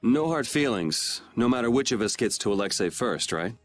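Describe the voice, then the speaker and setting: A man's voice: mid-range voice, expressive, moderate pace, faint music behind, close to an everyday voice. An actor playing a laid-back, blunt young swordsman hero in a video game, with a casual, dry manner. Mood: wry, relaxed.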